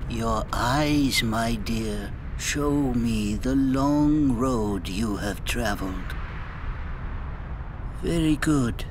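A slow, solemn ghostly gatekeeper. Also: male voice, mid-range voice, noisy background. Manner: slow, formal, ceremonial. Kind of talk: video game cutscene acting.

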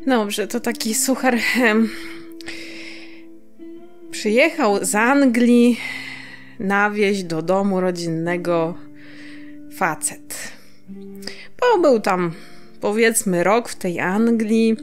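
A woman's voice: medium pitch, takes it slowly, long pauses, soft background music. A woman from Poland co-hosting a podcast in casual chat, often searching for words and chuckling. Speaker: podcast co-host.

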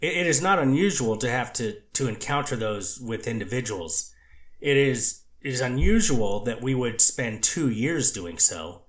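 A man's voice: medium pitch, expressive, moderate pace. A man with a mid-range voice gives testimony in an echoey courtroom. He answers carefully and measuredly, qualifying his statements and restarting to choose his words. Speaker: treatment clinician testifying as witness.

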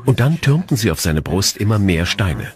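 A man with a deep voice speaks as an expert commentator. He gives explanatory commentary on history in a documentary. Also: sing-song, moderate pace, crowd noise.

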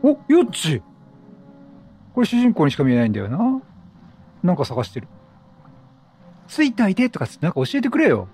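Male let's player: husky, high for a man, swooping, chatty slangy reactions. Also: wildly swooping pitch, a little fast, pauses often, dark tone, faint music behind. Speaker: let's play commentator. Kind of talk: excitable game commentary.

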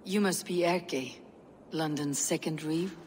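A woman voicing a bold female Viking warrior in a video game, direct and measured in a formal way. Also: deep voice, a little mellow, slight background noise. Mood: wry. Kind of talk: dramatic video game cutscene acting.

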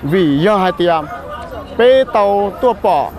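A man's voice: high-pitched, expressive, slow. A young man from Thailand, an actor, answering interview questions outdoors at a festival, with hesitant speech and many fillers. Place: outdoor festival grounds with a large crowd. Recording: loud crowd noise behind.

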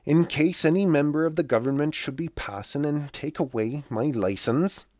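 A man's voice: a little high, normal speed, darkish tone, dry recording, clean studio sound, voice pushed up, lightly acted. A man voicing a flustered, glib country landlady in fussy rustic dialect for a storybook. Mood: hushing.

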